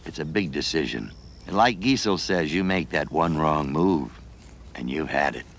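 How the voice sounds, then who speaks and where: Very deep, expressive male documentary narrator. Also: moderate pace, gravelly, a little hiss or hum. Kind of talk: scripted narration.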